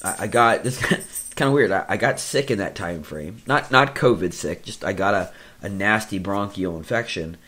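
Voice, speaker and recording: A man with a very low, scratchy voice talks through a casual personal update. He rambles, loops back on his own words and makes light of himself. He sounds middle-aged and speaks from an office. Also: expressive, moderate pace, bright, forceful tone. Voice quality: scratchy, deeper voice. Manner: rambling, repeats himself, self-deprecating. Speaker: podcast host. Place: indoors in an office.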